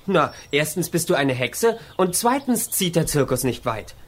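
A man's voice: a little high, sing-song, moderate pace. An actor playing an earnest, fond young circus boy in a children's audio drama. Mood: hopeful, fond.

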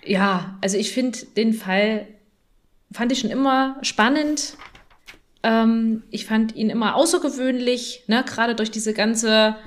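A woman's voice: fairly high pitch, fairly even intonation, slow pace. A woman co-hosting a podcast in casual chat, often ending phrases by seeking agreement. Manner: often seeks agreement with tag questions. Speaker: podcast co-host.

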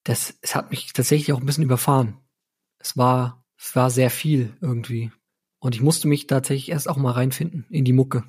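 A man's voice: medium pitch, steady tone, brisk, a little mellow, slightly rough. A man co-hosting a music podcast, chatting informally and colloquially. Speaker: podcast co-host. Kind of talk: casual music podcast chat.

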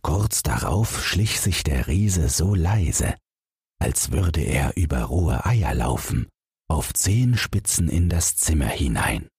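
Deep, husky male storyteller. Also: very animated, slow, a little mellow, dry recording, studio quality. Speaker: audiobook narrator. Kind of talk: bedtime fairy-tale narration, scripted.

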